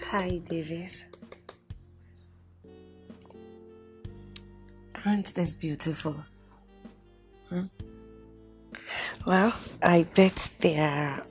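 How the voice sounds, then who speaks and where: Scheming seductress, lowish voice, slow pace, insinuating, resentful, screen melodrama. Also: female voice. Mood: seductive, teasing. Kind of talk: melodramatic film acting.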